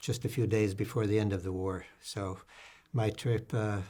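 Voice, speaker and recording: An older man speaking as an interview guest, recounting his experience in a halting, measured way with formal wording. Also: lowish voice, fairly even intonation, warm tone. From United States, born in Sweden to Latvian refugees. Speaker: interview guest, political activist and lecturer. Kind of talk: reflective conversational account in a public discussion.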